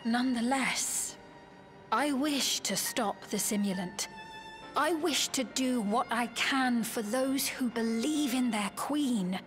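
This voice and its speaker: A slow, solemn young royal woman. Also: fairly high pitch, faint music behind. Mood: solemn resolve. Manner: formal, measured, solemn. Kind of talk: dramatic video game voice acting.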